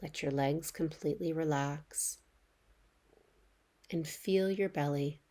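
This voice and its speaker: A woman with a low voice leads a guided meditation. Her delivery is very slow and measured, with pauses between short phrases. She sounds gentle and soothing as she gives relaxation instructions. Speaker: yoga nidra meditation guide.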